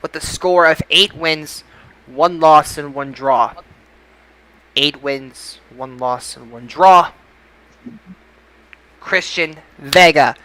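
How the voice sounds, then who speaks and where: Man, high pitch, bright tone, podcast host, announcer-like delivery, casual results show. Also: takes it slowly, pauses often, rough recording.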